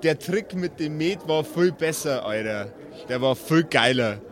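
A man's voice: fairly high pitch, lively intonation, measured pace. Boozy male heckler at a fantasy party, played by a male narrator, mocking, slangy, audio role-play. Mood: jeering. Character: boozy male party guest with endless mead jug, jeering.

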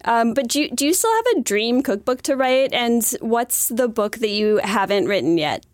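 A woman's voice: fairly high pitch, animated, moderate pace, no echo, studio quality. A woman from the United States interviewing a guest, friendly and posing long, framed questions.

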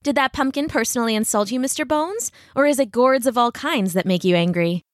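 Woman playing a playful, teasing young lady, lifted pitch. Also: brisk, no room sound, studio quality, natural voice. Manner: teasing, genteel.

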